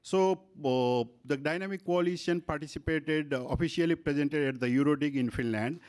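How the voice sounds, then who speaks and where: Halting Nepali man moderating a panel. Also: a little high, a little mellow. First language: not English. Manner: halting, frequent fillers and restarts. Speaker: workshop moderator. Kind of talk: conference panel presentation. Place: conference room with panel table. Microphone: handheld microphone.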